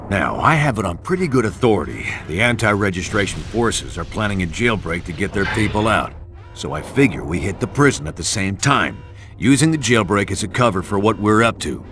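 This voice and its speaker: An actor playing a wry, blunt military intelligence chief in a video game briefing. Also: male voice, lowish voice, very animated, fairly quick, a bit bright, soft background music. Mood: wry, commanding.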